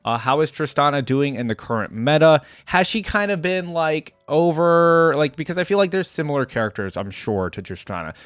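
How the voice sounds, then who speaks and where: A man's voice: on the high side, expressive, normal speed, hardly any pauses, a bit bright, smooth, no room sound, clean studio sound, soft background music. A man hosting a reaction video, giving casual off-the-cuff game commentary in a rambling, conversational way that often checks for agreement. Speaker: YouTube reaction host.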